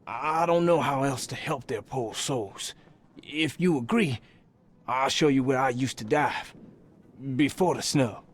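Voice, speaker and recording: A man playing a slow, grieving local. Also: medium pitch, slight background noise, close to an everyday voice.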